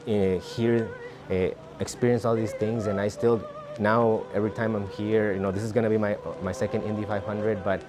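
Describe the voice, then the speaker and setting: A man's voice: on the low side, little pitch movement, moderate pace. A man from Ciudad Juarez, Mexico, earnestly recalling his path into motorsports medicine in an interview. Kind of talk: reflective interview testimony. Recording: music bed underneath.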